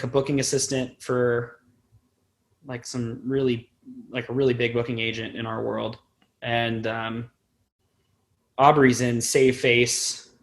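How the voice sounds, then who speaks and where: A man in his twenties chatting casually as a musician being interviewed, rambling and self-deprecating. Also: medium pitch. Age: 20s. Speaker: podcast guest, band musician.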